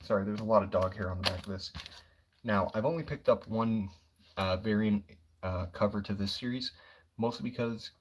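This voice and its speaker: A young man talking quietly and casually on a livestream, rambling about comic books with lots of fillers. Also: lowish voice, moderate pace, slightly rough. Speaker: comic book streamer.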